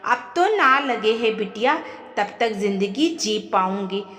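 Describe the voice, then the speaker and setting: Woman doing a frail tired old mother in law, plaintive, slow, echoey family audio story. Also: medium pitch, natural voice. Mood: weary resignation. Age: older. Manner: weary, plaintive, gentle. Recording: echoey, long reverb.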